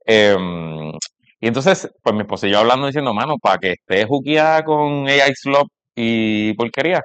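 A man's voice: mid-range voice, normal speed, a bit bright, smooth, no room sound. A man with a Puerto Rican accent chatting casually and telling anecdotes in conversation. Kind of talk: casual conversation about parenting.

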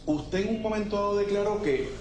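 A man's voice: sits high, brisk, darkish tone, faint music behind. A male lawyer questioning a police witness in court, polite and methodical. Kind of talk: courtroom examination. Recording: echoey courtroom mic.

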